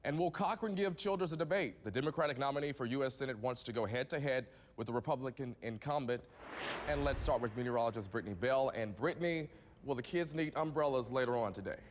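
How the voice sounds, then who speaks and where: A male news anchor with an American accent reads from a script for a morning TV broadcast. His pitch sits in the middle of the male range, and a long reverb trails his voice. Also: fast talker, slight background noise. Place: TV news studio.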